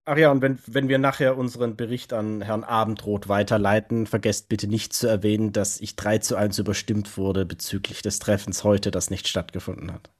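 A resolute male vampire, played by a man in a tabletop audio drama. The voice sits somewhat low. He talks in a measured, matter-of-fact and firm way. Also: little pitch movement, close to an everyday voice. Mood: insistent. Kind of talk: improvised tabletop role-play audio drama.

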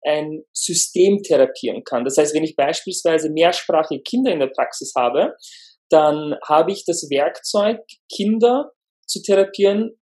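A man from Lower Austria, a speech therapist talking as a podcast guest, pausing often with fillers; his is Turkish. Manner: hesitant, many fillers, relaxed. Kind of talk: conversational interview.

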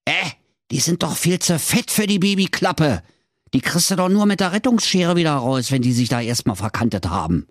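A man voicing a poor old soft-hearted father in a fairy tale, grumbling indignantly in a Berlin accent. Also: on the high side, animated, normal speed, dry recording, studio quality, voice pushed up, natural voice. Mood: exasperated.

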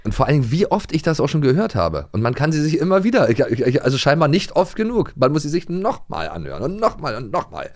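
Very animated man in casual podcast chat. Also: a little high, quick pace, few pauses. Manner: playful, self-deprecating, repeats words. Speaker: podcast co-host.

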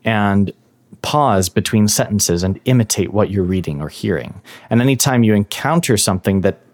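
A man's voice: lowish voice, expressive, moderate pace, darkish tone. A man who is a guest in an interview, explaining his advice on learning languages in a thoughtful, step-by-step way. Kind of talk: interview answers.

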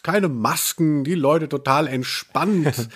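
A man's voice: on the high side, slow, slightly rough. A man co-hosting a podcast in casual chat, joking in a dry, ironic way. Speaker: podcast co-host.

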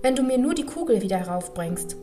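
A woman's voice: a little high, expressive, fast, warm tone, soft background music, close to an everyday voice. A woman voicing a spoiled young princess in a fairy tale reading, who pleads as if close to tears. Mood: eager. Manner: pleading, lightly sobbing. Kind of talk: storybook reading.

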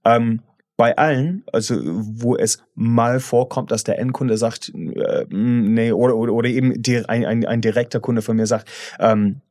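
A man's voice: mid-range voice, steady tone, moderate pace, darkish tone, no echo. Blunt man co-hosting a podcast, dry sound. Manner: blunt, repeats words when restarting. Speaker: podcast co-host. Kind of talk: casual chat.

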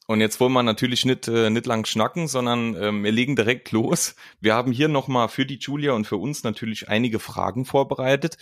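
A man's voice: medium pitch, steady tone, fairly quick, hardly any pauses, no room sound. A young man hosts a podcast in a loose, rambling way, padding his questions with filler words. His voice sounds dry and close, with no room around it. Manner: rambling, friendly, many fillers. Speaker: podcast host. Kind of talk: casual podcast interview.

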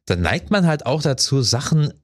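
A man hosting a music talk, explaining in a relaxed way and often agreeing. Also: medium pitch, normal speed. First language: German. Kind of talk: conversational discussion.

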